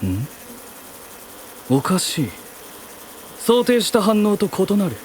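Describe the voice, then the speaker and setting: An actor plays a detached, blunt young male inventor in an anime audio drama, speaking in terse, deadpan orders. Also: high voice, slow, slight background noise, heavily acted.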